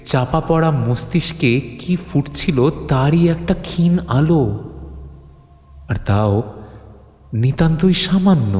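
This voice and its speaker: Male horror storyteller, an actor as a grave witness to forbidden old terrors, solemn, suspenseful pauses, mid-pitched, long reverb. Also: darkish tone. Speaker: first-person storyteller. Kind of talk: dramatic horror audiobook narration.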